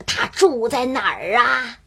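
Storybook tiger, male, sly and greedy, played by a woman: high, husky, very slow and theatrical. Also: animated, a bit bright, full character voice. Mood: wheedling. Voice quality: husky, rough. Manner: slow, drawn-out, exaggerated wheedling. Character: sly greedy hungry male tiger, wheedling then menacing. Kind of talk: children's storybook character acting.

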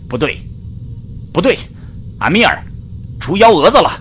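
Excitable young-sounding spaceship crewman, a man acting wildly swooping speech. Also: high voice, moderate pace, a little hiss or hum. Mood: puzzled, worried. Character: anxious, excitable male spaceship navigator and crewman. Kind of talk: Chinese science fiction radio drama acting.

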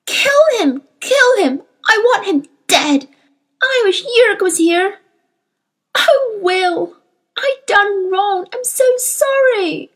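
Really high pitched upset little girl, fierce n sobbing, rough country grammar, audiobook style. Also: lively intonation, slow, a bit bright, full character voice. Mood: raging, sobbing. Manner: rustic ungrammatical speech. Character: distraught young girl, fierce, sobbing with rage and shame. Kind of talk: dramatized audiobook character voice.

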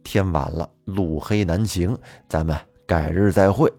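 A man voicing a steady older male shop manager who gives slow, fatherly advice. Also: deep voice, creaky, no echo, faint music behind, voice pushed down, heavily acted. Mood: calm.

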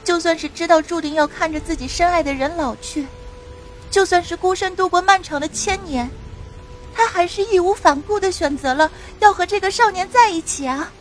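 Casting: actress as fiery young elf girl, high voice, emphatic and passionate, fantasy audio drama. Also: moderate pace, a little hiss or hum. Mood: pained, impassioned. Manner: emphatic, impassioned, scolding delivery. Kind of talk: fantasy radio drama acting.

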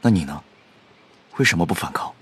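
A man acting in a radio drama as a composed, considerate young gentleman from the upper city, speaking in a measured, concerned way. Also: sits low, animated, a little hiss or hum. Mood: calm, wistful. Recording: prominent music behind the voice.

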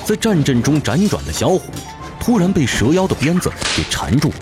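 Casting: man, fantasy audiobook narrator, very expressive theatrical delivery, backed by a music bed. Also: a little high, a little fast. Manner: theatrical, suspenseful storytelling. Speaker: narrator, professional voice actor. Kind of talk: dramatic scripted audiobook narration.

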